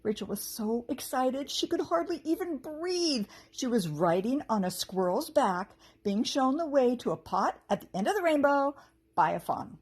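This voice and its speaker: A woman teacher reading a children's story aloud with expressive narration and a slightly husky voice. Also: sits high, normal speed.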